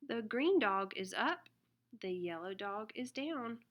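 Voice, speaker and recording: A woman reading a children's picture book aloud in an expressive, deliberate way. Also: mid-range voice. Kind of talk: read-aloud children's storybook narration.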